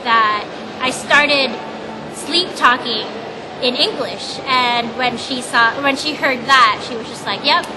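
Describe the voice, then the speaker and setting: A woman's voice: high-pitched, slow. A young woman from the Philippines, Tagalog her first language, answering oral history questions earnestly with many ums and restarts. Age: young adult. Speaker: interviewee. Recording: loud background noise.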